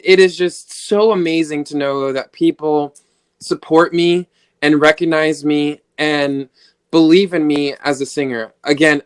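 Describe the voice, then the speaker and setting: A man's voice: a little high, smooth, no echo. Earnest, grateful young man. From United States. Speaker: singer-songwriter guest. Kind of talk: interview over video call. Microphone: video call.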